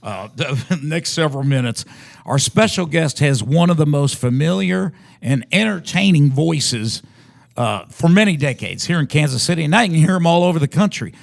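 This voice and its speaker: A man's voice: on the high side, expressive, normal speed, vocal fry. A man co-hosting a podcast in casual chat, with a folksy, bantering way of talking. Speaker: podcast co-host.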